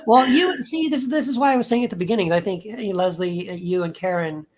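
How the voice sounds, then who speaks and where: A man who is an author, guesting on a podcast and talking in a relaxed, rambling, easygoing way. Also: high voice, a little fast, a little hiss or hum. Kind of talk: casual interview chat.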